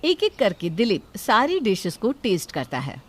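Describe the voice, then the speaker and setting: A woman's voice: mid-range voice, huge pitch swings, moderate pace. A woman narrator reading a scripted moral story.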